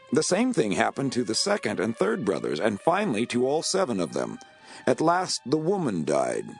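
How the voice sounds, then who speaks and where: Argumentative sadducee, really deep voice, animated, explains and challenges, bible drama over soft music. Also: male voice, gravelly, heavily acted. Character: argumentative Sadducee posing a riddle to a teacher. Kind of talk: audio Bible drama acting.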